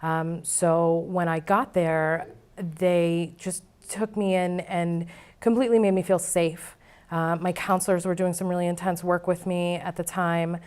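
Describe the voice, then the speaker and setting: A woman gives candid testimony as an interview guest. Her voice is smooth and a little low, and it stays very level as she talks. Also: flat delivery, measured pace, a little mellow. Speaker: interview guest, former client now board member. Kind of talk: candid personal testimony in conversation.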